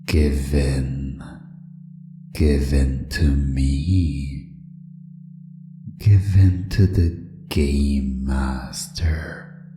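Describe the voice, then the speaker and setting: Man playing a deep, gloating hypnotist villain. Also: steady tone, warm tone, roomy sound, exaggerated voice. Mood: gloating, commanding. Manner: commanding, drawn-out, gloating. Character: sinister, gloating game master hypnotist, a possessive villain. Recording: music behind the voice.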